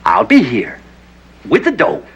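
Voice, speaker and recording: A man's voice: a little high, huge pitch swings, measured pace. An actor playing a brash, slangy old-time newspaperman with a blustery manner in a 1960s TV crime drama. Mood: businesslike. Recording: vintage TV soundtrack, faint noise.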